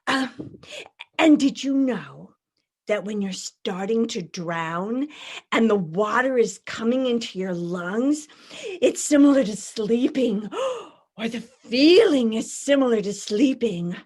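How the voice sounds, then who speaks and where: Woman acting a high-voiced, manic young blind date. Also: lively intonation, darkish tone, slightly rough, exaggerated voice. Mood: rambling, awkward. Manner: halting, nervous, apologetic, over-eager to impress. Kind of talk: theatrical monologue, comedy reading.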